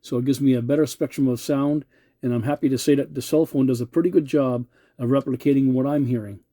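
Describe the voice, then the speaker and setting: A middle-aged or older man with a very warm, mellow voice recorded close and dry. He talks casually as a radio hobbyist, polite and measured. He often hesitates and doubles back on his words. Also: mid-range voice, fairly even intonation, no room sound. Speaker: amateur radio operator hosting a video channel. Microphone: cell phone filming the radio.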